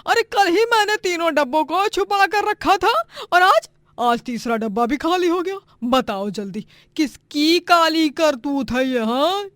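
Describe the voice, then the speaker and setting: High-pitched, melodramatic, bossy mother-in-law. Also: lively intonation, unhurried, fairly bright tone, dry recording, studio quality, full character voice. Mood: angry, accusing. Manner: theatrical, scolding, bossy. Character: domineering, greedy, melodramatic middle-aged Indian mother-in-law. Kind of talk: animated Hindi moral-story voice acting.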